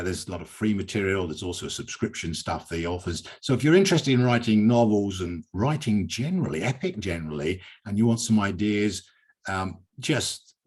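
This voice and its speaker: Animated British man, slightly husky. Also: on the low side. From Britain. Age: older. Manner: chatty, digressive, emphatic repetition. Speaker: host of a poetry reading. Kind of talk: informal event hosting, reading out written replies.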